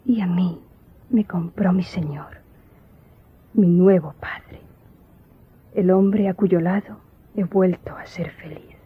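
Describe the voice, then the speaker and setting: An actress playing a grieving daughter who solemnly tells her tragic story in a radio drama, in a measured way. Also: on the low side, husky, phone-quality sound, full character voice. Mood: sorrowful.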